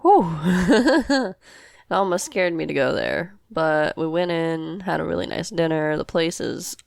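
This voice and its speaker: Woman playing an easygoing, creaky-voiced girlfriend. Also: on the low side, a little slow, no room sound. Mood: amused, casual. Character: easygoing, devoted girlfriend in a poly relationship. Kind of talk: amateur audio roleplay acting.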